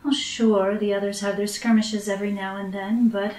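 A woman's voice: medium pitch, level tone, normal speed, darkish tone, lightly acted. A woman playing a sly, world-weary, teasing femme fatale in a noir radio drama, in an echoey room. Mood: weary, knowing. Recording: echoey room, distant microphone.

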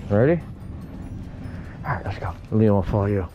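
A man in his thirties hosting a casual vlog, playful and given to sudden high excited shouts, filmed on a handheld action camera. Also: moderate pace, muffled recording, heavy background noise. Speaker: vlog host. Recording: music playing behind.

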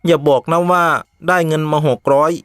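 A man voicing a superstitious, jumpy young village buddy in an animated ghost story, casual and excitable. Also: on the high side, a little fast, smooth, no room sound, studio quality, pitched above the performer's own voice, natural voice. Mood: uneasy, chummy.

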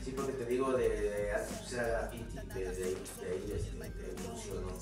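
A man's voice: on the low side, fairly quick, distant mic, soft background music. A man, a rapper talking casually as a guest with lots of slang and fillers. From born in Chicago, raised in Mexico City. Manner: relaxed, slangy, many fillers and restarts. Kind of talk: casual interview chat. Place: small home recording studio. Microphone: far camera mic. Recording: echoey room, voice from afar.

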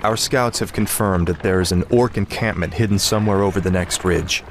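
An actor playing a confident, dutiful young warrior prince, informal and earnest, in a fantasy game. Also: male voice, sits low, brisk, slight background noise. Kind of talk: fantasy game dialogue acting.

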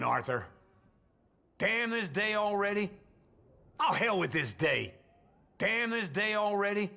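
Drawling old American outlaw, lively pitch. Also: male voice, vocal fry, heavily acted. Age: older. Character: grumbling old male outlaw camp loafer in the American West. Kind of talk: video game ambient greetings, western.

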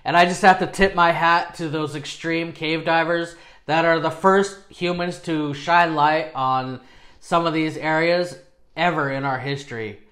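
A man's voice: on the high side, fairly even intonation, measured pace, a bit bright. A man in his thirties presenting a video casually, with an informal, self-deprecating manner, recorded indoors in a small home studio.

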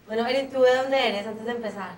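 A young woman hosting a cooking show, explaining each step to viewers in a brisk, friendly way from a small studio kitchen. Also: on the high side, steady tone, slight background noise. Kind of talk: friendly televised cooking lesson.

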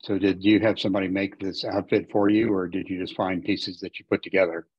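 A man's voice: sits low, little pitch movement, brisk, breathy edge, no echo, muffled recording. A man hosting a club meeting, prompting briefly for questions. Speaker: meeting host.

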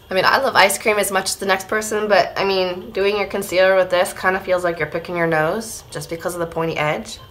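A woman in her thirties, slightly hoarse, giving a casual beauty product review to camera at home. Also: medium pitch, steady tone, a little fast, few pauses, a bit bright, faint music behind, rough recording. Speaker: beauty vlogger. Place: small room at home, indoors.

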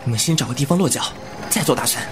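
男演员饰演的语调活泼、嘴快顽劣的少侠. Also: male voice, mid-range voice, animated, rapid-fire, noisy background, close to an everyday voice. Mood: agitated. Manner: impulsive, sharp-tongued, irreverent. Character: brash, cheeky young male martial-arts hero. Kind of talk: Chinese wuxia audio drama acting.

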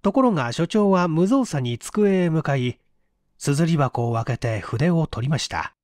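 A man's voice: on the high side, lively intonation, a little slow, a little mellow, no echo. Male audiobook narrator, dry sound. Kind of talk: scripted story narration.

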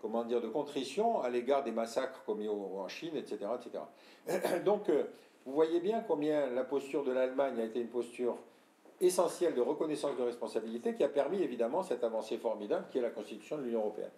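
An older man from France giving a talk to an audience, explaining and addressing listeners directly, often clearing his throat. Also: mid-range voice, lively intonation, fast, dark tone. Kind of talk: lecture with audience questions. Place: room with audience. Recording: echoey room.

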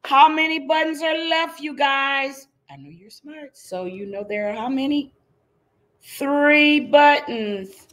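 A woman's voice: high-pitched, slow pace, a bit bright. A middle-aged woman reading a picture book aloud to young children, teacherly and interactive, checking in with her listeners. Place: indoors in front of a green screen.

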